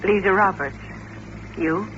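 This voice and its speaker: A woman's voice: medium pitch, unhurried, fairly bright tone, muffled recording, close to an everyday voice. An actress in a 1950s radio drama playing a naive young female chorus dancer. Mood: curious, friendly. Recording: noisy, band-limited vintage radio recording.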